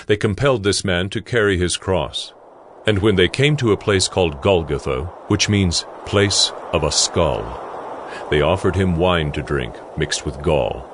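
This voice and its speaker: Deep-voiced male scripture narrator. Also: a little slow, slight background noise.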